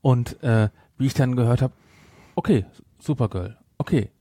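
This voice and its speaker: A man's voice: mid-range voice, moderate pace, dark tone, dry recording, studio quality. A man co-hosting a podcast in casual chat, often breaking off and correcting himself mid-sentence. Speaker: podcast co-host. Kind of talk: casual chat about audio dramas.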